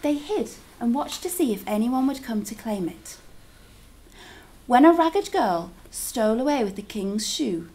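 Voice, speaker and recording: A woman reading a story aloud to children as a teacher, in an expressive, deliberate storytelling style with drawn-out words. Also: fairly high pitch.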